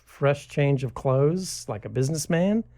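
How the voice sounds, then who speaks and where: A man's voice: on the high side, lively intonation, measured pace, dark tone. A man from New City, an author guest telling stories in conversation with hesitant fillers. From New York City. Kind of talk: conversational storytelling.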